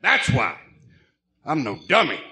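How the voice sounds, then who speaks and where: A man's voice: fairly high pitch, wildly swooping pitch, a little slow, bright, forceful tone, full character voice. A man voicing a cocky male crook with a chatty, self-satisfied delivery in a comic-book radio drama. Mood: smug relief. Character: cocky male crook explaining his surrender.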